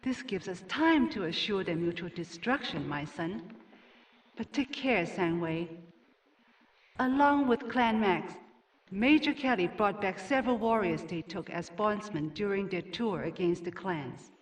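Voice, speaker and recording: A woman playing a stern, regal ruling noblewoman and mother in a video game, with a formal, measured, commanding manner. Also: mid-range voice, animated, distant mic, lightly acted, poor audio. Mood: cool, commanding. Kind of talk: scripted video game cutscene acting.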